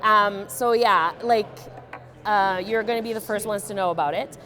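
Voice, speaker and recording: A young woman tying a fishing fly in a joking, deadpan demonstration, with many ums and uhs. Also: medium pitch, normal speed, soft background music. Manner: deadpan, playful, many fillers. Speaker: guest fly tier.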